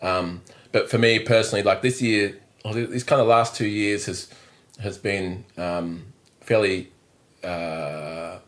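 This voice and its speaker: Deep-voiced Australian man rambling easily. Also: fairly even intonation, measured pace. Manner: rambling, self-deprecating, restarts a lot. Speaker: drummer and musical director, podcast guest. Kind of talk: casual interview chat. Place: indoors, on a couch.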